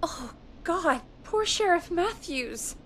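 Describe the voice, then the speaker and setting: A woman playing an excitable, earnest radio producer in a video game. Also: very high-pitched, slow, a little hiss or hum, heavily acted. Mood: worried.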